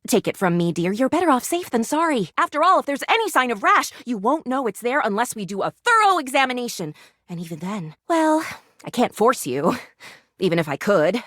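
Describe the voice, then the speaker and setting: A woman's voice: fairly high pitch, fairly bright tone, no echo, clean studio sound. A woman playing a perky, eccentric, gleefully morbid lady doctor in a video game, with gushing, old-fashioned, melodramatic phrasing. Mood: coaxing, playful, then earnest. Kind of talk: animated video game character acting.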